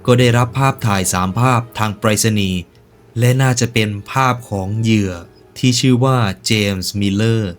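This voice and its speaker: A man's voice: fairly low pitch, normal speed, faint music behind. A man hosting a reaction video, commenting casually in slangy speech with joking asides and stretches of narration. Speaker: YouTube reaction host.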